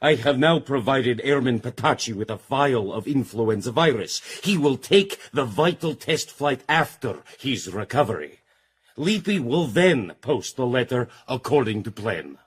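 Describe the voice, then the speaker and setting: A man's voice: medium pitch, expressive, a little slow, slightly rough. A man acting in a radio drama as a disdainful doctor in a criminal syndicate, formal and emphatic. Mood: disdainful, reporting.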